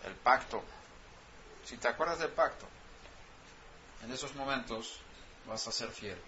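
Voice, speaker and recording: A man's voice: mid-range voice, lively intonation, measured pace, pushed and bright, a little hiss or hum. A man whose first language is Swedish, preaching a sermon and pressing his point with rhetorical questions. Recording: dull lo-fi sound, faint ambience.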